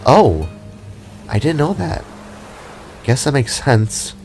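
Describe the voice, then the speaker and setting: A man voicing a gentle, loving expectant mother in a fantasy audio drama, speaking softly and tenderly. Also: mid-range voice, very animated, slow, faint music behind, pitched above the performer's own voice. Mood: warm, curious.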